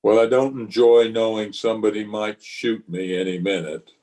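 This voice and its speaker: A weary frontier lawman, played by a man in a radio western. The voice is on the low side, grave and plainspoken. He sounds burdened by conscience, with no bluster at all. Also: fairly even intonation, normal speed, dark tone, close to an everyday voice. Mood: grave, earnest. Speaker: actor and narrator in an amateur radio play. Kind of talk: old-time radio western acting. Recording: voice recorded over an internet call.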